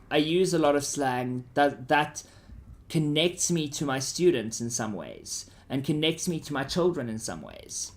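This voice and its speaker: A male teacher with a South African accent talks students through a lesson. His voice sits slightly high for a man. He is informal and conversational, and regularly checks that his listeners understand.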